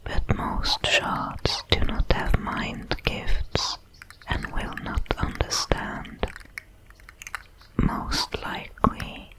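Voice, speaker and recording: A woman voicing a calm, watchful alien predator in a breathy whisper, with formal, measured diction for an ASMR audio roleplay. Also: rough recording. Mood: calm, observant. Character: many-legged alien predator, calm, watchful, protective, coldly curious.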